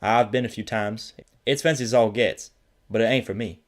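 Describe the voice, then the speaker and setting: A man's voice: lowish voice, steady tone, normal speed, close to an everyday voice. A man voicing an easygoing, practical female farm pony with an in an audio drama. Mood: matter-of-fact. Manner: folksy, reassuring.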